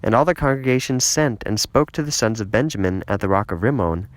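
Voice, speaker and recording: A man reading the Bible as scripted narration, with solemn, deliberate phrasing. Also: fairly low pitch, lively intonation. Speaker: scripture reader.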